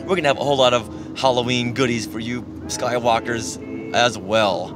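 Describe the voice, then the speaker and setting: Man, podcast host, casual chat, average pitch, music clearly behind. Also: steady tone, normal speed.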